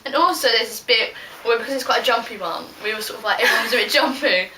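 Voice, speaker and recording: A young British woman chats informally and animatedly, telling stories to a friend. Her voice is bright and fairly high. The sound is echoey, as if she sits back from the microphone in a room. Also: fast. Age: teenager to young adult. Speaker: vlog host telling stories. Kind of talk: casual chat storytelling with a friend. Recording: roomy echo, distant mic, faint noise.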